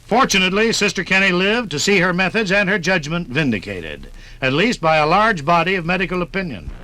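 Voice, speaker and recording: A man's voice: high-pitched, sing-song, brisk, bright, forceful tone, poor audio. An American man reading formal scripted narration for a 1950s radio documentary.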